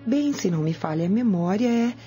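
A woman's voice: medium pitch, expressive, brisk, mellow, husky, faint music behind. An actress playing an obedient, deferential servant woman who conspires with her mistress in a radio drama. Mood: deferential, attentive.

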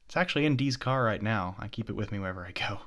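Man acting a low-voiced possessive, obsessive lover. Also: expressive, a bit bright. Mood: confessional, rambling. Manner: intimate, halting, drops into breathy whispers. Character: possessive, obsessive young man, body-hopping stalker, flustered and rambling. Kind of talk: ASMR roleplay acting.

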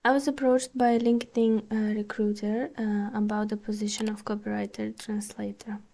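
A woman's voice: medium pitch, vocal fry, loud music behind the voice. Woman reading her article aloud. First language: Bulgarian. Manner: calm, measured reading with small hesitations. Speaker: podcast host.

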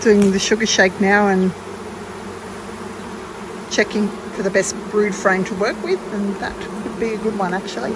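A woman's voice: mid-range voice, steady tone, unhurried, pauses often. A woman giving a casual, unscripted step-by-step beekeeping demonstration in a practical way. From Australia. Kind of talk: casual hands-on tutorial narration. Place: outdoors in a garden among beehives. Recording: clear background noise of buzzing insects. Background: bees buzzing, outdoor ambience.

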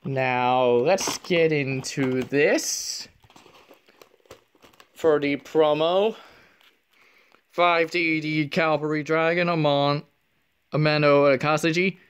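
Soft-spoken man calmly opening card packs. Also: on the high side, takes it slowly, pauses often, smooth. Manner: speaks softly, half whispering, reads card names aloud. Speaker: card unboxing youtuber. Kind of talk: casual card pack opening commentary. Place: indoors at a table, hands only on camera.

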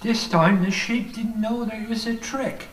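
A woman's voice: fairly high pitch, fairly even intonation, normal speed, distant mic, lightly acted. A woman playing a scheming sheep and storyteller in a scripted radio play. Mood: scheming, plain. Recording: echoey room, off mic, faint music.

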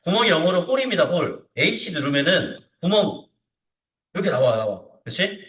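Guy teaching software step by step, kinda high voice, keeps checking you get it, mic sounds far off. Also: sing-song, moderate pace. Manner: informal, repeatedly checks understanding with short tag questions. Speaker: CAD instructor. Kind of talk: hands-on software tutorial lesson. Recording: roomy echo, distant microphone.

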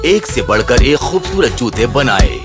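A man's voice: mid-range voice, big pitch swings. A man narrating a scripted children's fairy tale as storyteller. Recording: music under the narration. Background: music.

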